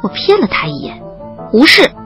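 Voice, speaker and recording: Wry, animated young woman storyteller over music. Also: fairly high pitch, moderate pace. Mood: wry narration. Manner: expressive, playfully wry. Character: young woman student narrating her love story, wry, playful. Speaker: narrator and lead in an audio drama. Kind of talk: audio drama narration and dialogue.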